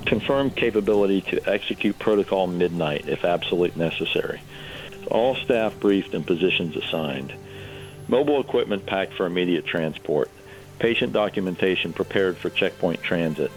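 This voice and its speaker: A man acting as a grave field hospital commander in an audio drama. His low voice is steady and measured. He reports formally, in procedural language. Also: soft background music, close to an everyday voice, poor audio. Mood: grave, resolute. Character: steady male besieged medical facility commander, grave.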